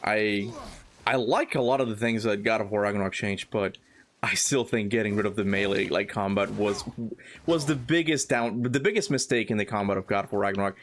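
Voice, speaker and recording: A man's voice: on the low side, brisk, a little hiss or hum. A man born in Cuba, streaming a video game and chatting casually with his viewers as he plays, often laughing. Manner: chatty, reads chat aloud, laughs often. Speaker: gaming streamer. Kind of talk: casual livestream chat while playing.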